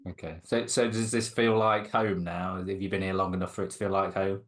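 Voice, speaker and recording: Man, podcast host, lowish voice, hesitant, stumbling questions. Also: flat delivery, fairly quick. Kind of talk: casual interview chat.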